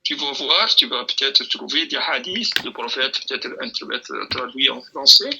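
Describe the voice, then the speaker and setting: A man explaining a religious question in a relaxed, hesitant way, with many restarts and fillers, sometimes quoting scripture. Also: mid-range voice, normal speed, vocal fry, lo-fi sound, a little hiss or hum. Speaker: imam answering a question.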